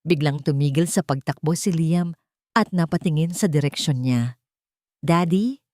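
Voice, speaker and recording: A woman narrating a scripted romantic audio drama in a steady, emotive storytelling manner. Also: low-pitched, normal speed, dry recording, clean studio sound.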